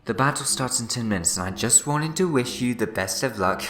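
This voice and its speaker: An actor playing a sly time-travelling schemer in a sci-fi fan audio drama, teasing and mock-polite. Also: male voice, mid-range voice, lively intonation, a little fast, natural voice. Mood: mock friendly. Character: sly, smug, meddling male alien time-traveller schemer. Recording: loud music behind the voice. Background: dramatic music.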